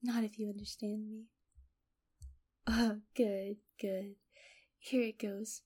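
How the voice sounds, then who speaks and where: A woman playing a sweetly menacing, possessive kidnapper in an intimate audio roleplay, speaking softly with breathy whispers and a coaxing, condescending affection. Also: medium pitch, flat delivery, takes it slowly. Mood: coaxing, soothing, then cooing, pleased. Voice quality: soft, often dropping into breathy whispers.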